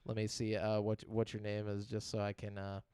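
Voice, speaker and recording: A man's voice: lowish voice, completely flat delivery, a little fast, warm tone, clear, resonant tone, no echo. A young man hosting a casual live video game podcast, rambling with lots of fillers. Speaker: podcast host. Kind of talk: casual chat on a live stream.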